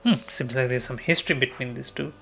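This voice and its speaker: A woman's voice: rumbling low, fast, creaky, a bit bright. A woman giving casual commentary while playing a game, with game music behind her. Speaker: game streamer.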